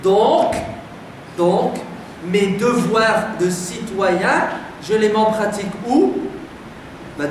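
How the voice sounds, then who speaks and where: A man from France giving a sermon to a live audience, firing emphatic rhetorical questions at the crowd in a conversational way. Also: very high voice. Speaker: preacher addressing a community audience. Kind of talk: lively lecture to a live audience. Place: community centre hall. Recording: very echoey hall, distant, noisy.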